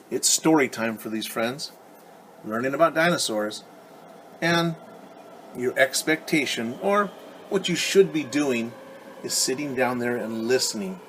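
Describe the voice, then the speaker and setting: A man's voice: medium pitch, fairly bright tone, a little hiss or hum. A male preschool teacher reading a picture book aloud and explaining it in simple, instructive words for young children. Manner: simple, instructive phrasing addressed to small children.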